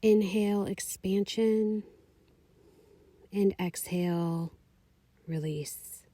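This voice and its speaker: A woman leading a guided meditation, giving calm, soothing, unhurried instructions. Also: medium pitch, dry recording. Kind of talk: guided meditation, slow scripted narration.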